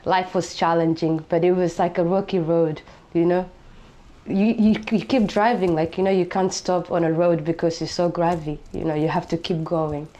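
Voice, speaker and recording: Low-voiced young Somali woman. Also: steady tone, normal speed, warm tone. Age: young adult. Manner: reflective, many fillers like "you know". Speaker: interviewee, refugee. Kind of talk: personal storytelling interview.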